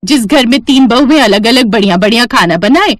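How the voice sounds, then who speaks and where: A woman's voice: fairly high pitch, lively intonation, fairly quick, no room sound, clean studio sound. A woman voicing a chatty, boastful neighbour lady in a cartoon family story. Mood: pleased, boastful. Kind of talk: Hindi moral-story cartoon voice acting.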